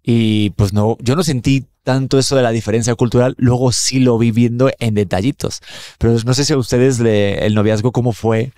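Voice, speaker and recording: A man's voice: mid-range voice, steady tone, moderate pace, mellow, clean tone, no room sound. Chatty guy hosting a podcast, rambles, dry close sound. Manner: chatty, rambling, many fillers. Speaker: podcast host. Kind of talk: casual interview.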